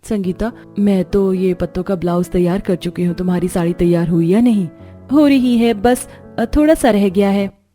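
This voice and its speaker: A woman's voice: medium pitch, moderate pace, dark tone, soft background music, natural voice. A woman voicing a cheerful, industrious young daughter-in-law in a cartoon story, talking in a friendly, eager way. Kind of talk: Hindi cartoon story dialogue.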